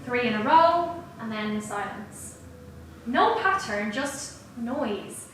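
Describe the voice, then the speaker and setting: A woman's voice: a little high, lively intonation, takes it slowly, slight background noise. A woman playing an earnest, wounded, analytical mathematician in a dramatic staged reading, reflective and hesitant. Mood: wistful, hurt. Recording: very echoey room, distant mic, music.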